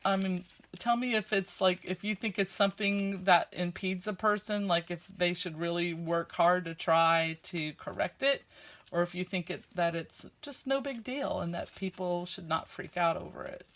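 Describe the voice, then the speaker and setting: A woman with a slight lisp and a loud, carrying voice, chatting casually and rambling a bit while she works on a craft project at home. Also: on the low side, moderate pace. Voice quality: loud voice that carries. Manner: chatty, rambling, with frequent fillers. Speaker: crafting vlogger.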